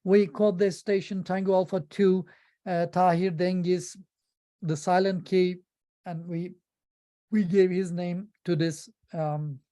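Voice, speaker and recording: A woman giving an informal slide presentation about her amateur radio work, in halting with frequent pauses. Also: fairly low pitch, steady tone, dark tone. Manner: halting non-native English with frequent pauses.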